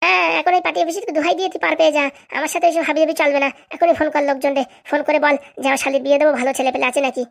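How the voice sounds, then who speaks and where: Casting: woman to play domineering cartoon wife, high creaky voice, relentless scolding. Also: flat delivery. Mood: nagging, demanding. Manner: scolding, accusatory, rapid-fire haranguing. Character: bossy, nagging, domineering wife of a village politician. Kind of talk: comic cartoon voice acting.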